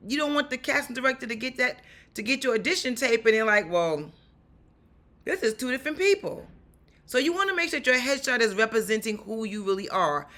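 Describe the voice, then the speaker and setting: Raspy, upbeat New York woman. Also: a little high. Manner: upbeat, animated, talks straight to the viewer. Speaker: actor and stunt performer giving career advice. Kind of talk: casual advice vlog.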